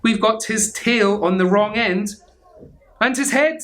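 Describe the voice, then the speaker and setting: A man's voice: high-pitched, unhurried, a bit bright, voice pushed up. A man voicing a mischievous little skeleton from a children's storybook, bouncy and eager. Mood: puzzled, amused. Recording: clear background noise.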